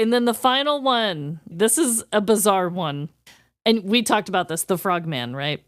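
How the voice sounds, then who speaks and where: A woman's voice: mid-range voice, no echo, clean studio sound. A woman co-hosting a podcast, chatting casually and reading from prepared notes. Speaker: podcast co-host.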